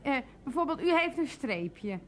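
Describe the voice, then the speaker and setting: An overeager department-store saleswoman with a high voice. She gushes politely and over-explains everything, in a comic radio play with noticeable background noise. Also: very animated, a little slow. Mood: insistent, advising.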